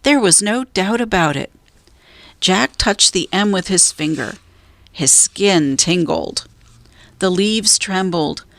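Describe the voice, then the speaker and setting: A woman reading a children's story aloud as a narrator, in a measured, deliberate way with drawn-out emphasis. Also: medium pitch, vocal fry, a bit bright, no room sound. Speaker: storybook reader.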